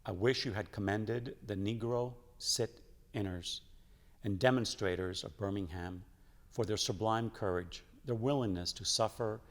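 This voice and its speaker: A man reading a written letter aloud in a solemn way, with measured, deliberate phrasing. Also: on the low side. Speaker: church member reading aloud. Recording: long echoing reverb.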